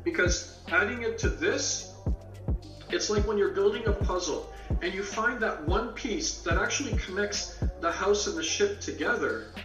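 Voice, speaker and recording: A man, born in England and raised in Canada, answers interview questions as an author, building long metaphors and acting out quoted dialogue. His slightly high voice sounds far from the mic in an echoey, muffled recording. Music plays clearly behind him. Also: moderate pace. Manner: explains with extended metaphors, acts out quoted dialogue. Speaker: author and guest.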